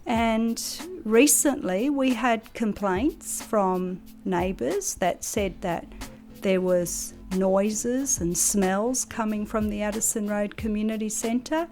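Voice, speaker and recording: A woman speaking for a community centre, measured and hesitant, with fillers. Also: medium pitch, darkish tone, faint music behind. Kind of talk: interview talk.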